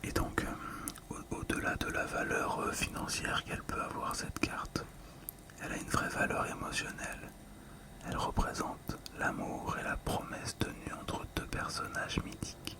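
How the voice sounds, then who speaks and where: A man speaking in a breathy ASMR whisper, gently describing things in an unhurried, slightly hesitant way. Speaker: ASMR video creator.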